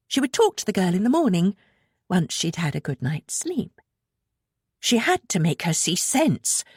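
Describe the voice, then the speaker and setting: A woman narrates a suspense novel in a low, breathy voice. Her delivery is expressive and dramatic, with lively movement in pitch, recorded close and dry. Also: no echo. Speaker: audiobook narrator. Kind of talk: scripted suspense fiction narration.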